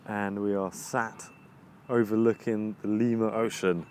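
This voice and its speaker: A man with an English accent and a lowish voice talks things through slowly outdoors, stopping and restarting as he hunts for the right phrase. Faint traffic and outdoor ambience hum behind him. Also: little pitch movement, a little mellow. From England. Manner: halting, many restarts while searching for words. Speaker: videographer interviewee. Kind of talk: reflective casual interview answers. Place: outdoors overlooking the ocean.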